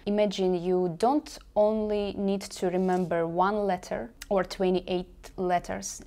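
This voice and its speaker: A woman's voice: medium pitch, dark tone. Ukrainian woman chatting to camera. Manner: earnest, explains step by step with many fillers. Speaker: language channel host. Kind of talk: casual talk to camera.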